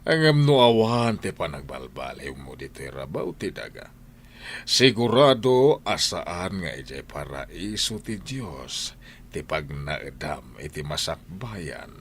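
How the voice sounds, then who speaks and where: A man's voice: a bass voice, very animated, husky, full character voice. A man playing a stern, domineering husband and head of the household in an audio drama, lecturing in an Ilocano Filipino accent. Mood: preachy, stern. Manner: slow, lecturing, commanding.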